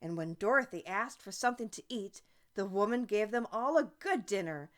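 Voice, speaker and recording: A woman reading a children's story aloud as an audiobook narrator, expressive and deliberate. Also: mid-range voice. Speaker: audiobook reader.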